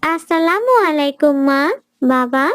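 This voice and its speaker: A woman voicing a gentle, pious little schoolgirl in a cartoon, speaking earnestly and politely. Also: high-pitched, expressive, measured pace, dry recording, studio quality. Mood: cheerful. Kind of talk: cartoon dialogue.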